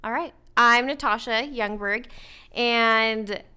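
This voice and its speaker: Chatty, bright-voiced woman in her twenties. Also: on the high side, steady tone, slow pace, clean tone. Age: 20s. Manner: friendly, chatty, says "like" a lot. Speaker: podcast guest. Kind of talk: casual podcast chat.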